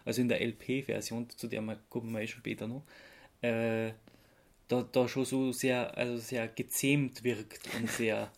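A podcast host chatting casually, often halting to search for words. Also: medium pitch, flat delivery.

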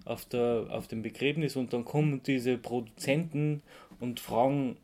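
Podcast host, casual chat, mid-range pitch, jokey and quick to laugh. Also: steady tone, darkish tone.

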